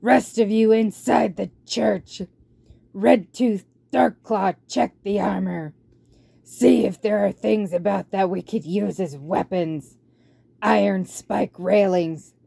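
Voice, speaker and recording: A woman's voice: mid-range voice, takes it slowly, gravelly, mellow, breathy edge, no echo, exaggerated voice. A woman voicing a villainous rat warlord in a storybook, curt, commanding and menacing as he orders his horde about. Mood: harsh, commanding.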